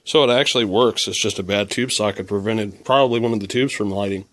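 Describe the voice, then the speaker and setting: Casual male hobbyist presenter with a lowish voice, talking through a hands-on repair as thoughts occur. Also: fast talker. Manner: musing, thinking aloud.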